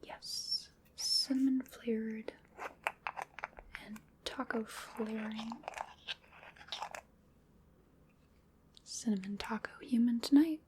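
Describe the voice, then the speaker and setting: Slow, whispering female vampire played by a woman. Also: fairly high pitch, fairly even intonation, background noise. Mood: musing, indulgent. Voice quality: soft breathy whisper. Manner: unhurried, musing, coaxing and mildly bossy. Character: playful, fussy female vampire seasoning her human snack. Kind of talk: whispered ASMR roleplay.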